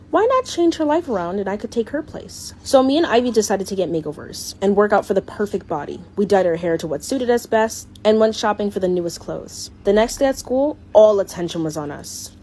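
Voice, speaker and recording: Woman narrating a scripted storytime, medium pitch, short plain sentences, slight noise. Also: mellow.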